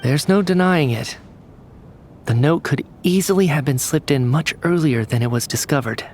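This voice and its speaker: A man playing a sharp young male investigator in a game dub, speaking precisely with a probing, serious manner. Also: fairly high pitch, normal speed, slight background noise, natural voice. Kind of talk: dramatic game dub acting.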